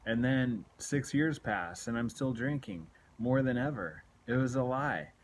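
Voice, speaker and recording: A man's voice: mid-range voice, animated, a little slow, slight background noise, poor audio. Earnest man in his late forties. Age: late 40s. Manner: earnest, repetitive, exhorting. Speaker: self-help video blogger. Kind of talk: candid confessional motivational talk to camera. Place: small room at home, indoors. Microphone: phone at arm's length.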